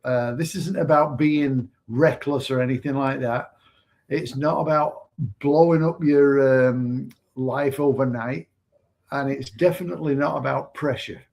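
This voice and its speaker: A man from England co-hosting a casual business livestream, speaking hesitantly with many pauses and fillers. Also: medium pitch, steady tone, vocal fry. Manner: hesitant, many fillers and restarts, softer than co-host. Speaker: online business mentor and livestream co-host.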